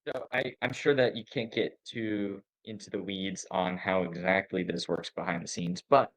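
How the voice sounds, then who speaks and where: A man's voice: deep voice, fairly even intonation, normal speed, rough recording. A man hosting a conversational interview, reflecting appreciatively and summing up what he has heard. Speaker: interviewer, host.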